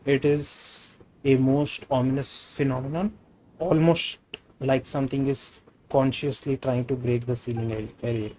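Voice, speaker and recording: A man's voice: medium pitch, darkish tone, a little hiss or hum, poor audio. A man with an Indian accent, a native Hindi speaker, doing a casual gaming livestream and reading game dialogue aloud. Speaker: game streamer.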